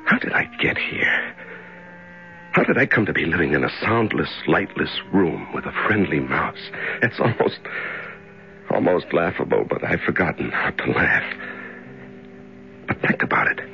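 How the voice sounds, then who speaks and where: A very low-voiced, lonely captive murmurs in halting, tender musings, swinging widely between despair and gentleness. It is old radio drama, with a dull sound and music behind the voice. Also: male voice, creaky, exaggerated voice. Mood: bewildered, reflective. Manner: halting, musing, repeats words, talks to himself. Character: lonely, despairing male prisoner tenderly talking to a mouse. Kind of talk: 1970s radio drama acting.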